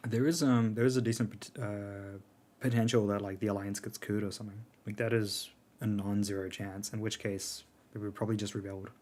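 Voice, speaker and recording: A man on a casual live stream answering chat, his voice strained, laid-back with frequent fillers and soft laughs. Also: lowish voice, little pitch movement, brisk. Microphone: earbuds.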